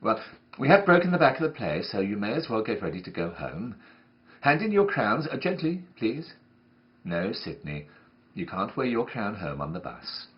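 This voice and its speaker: A man's voice: lowish voice, very animated, normal speed. Man playing a genteel, swooping nursery schoolmistress, dull recording. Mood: weary, hopeful. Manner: coaxing, genteel, gently scolding, addressing small children. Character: prim, patient woman nursery teacher, brightly flustered. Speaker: reader of a comic monologue. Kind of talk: performed comic monologue reading.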